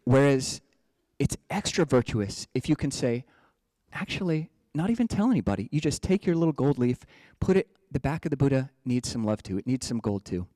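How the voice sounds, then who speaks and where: A man's voice: mid-range voice, big pitch swings, normal speed, warm tone, no echo. A man from the United States, a Buddhist monk giving a casual, playful dharma talk. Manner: playful, chatty, breaks into joking slang voices.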